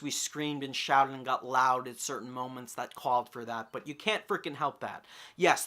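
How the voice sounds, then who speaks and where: A young man giving an enthusiastic video review in an excitable, emphatic, informal way with some mild swearing. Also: on the high side. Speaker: movie reviewer.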